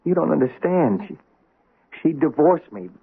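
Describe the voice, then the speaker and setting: Shifty, stammering husband, husky and jumpy, on tinny old radio. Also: male voice, a little high, sing-song, normal speed, exaggerated voice. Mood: pleading. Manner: stammering, evasive. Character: nervous, stammering cheating husband, middle-aged man. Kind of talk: 1970s radio drama acting. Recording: tinny lo-fi vintage radio recording.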